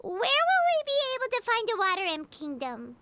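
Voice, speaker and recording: A woman voicing a small, excitable, curious childlike fairy companion in a video game, with a squeaky, expressive delivery. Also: normal speed, heavy background noise, heavily acted. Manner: expressive, speaks of self in third person.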